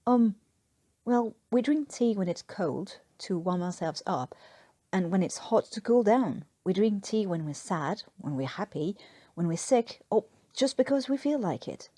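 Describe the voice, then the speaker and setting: A woman's voice: mid-range voice, expressive, measured pace, warm tone, no room sound, clean studio sound. A woman voicing an earnest, flustered young army private with an English accent, explaining hesitantly in a story reading.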